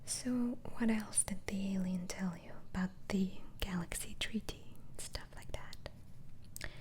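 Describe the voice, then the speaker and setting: A woman whispering in a breathy ASMR roleplay as a patient doctor, asking questions gently and hesitantly. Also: medium pitch, fairly even intonation, measured pace. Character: polite, patient female asylum doctor interviewing a patient.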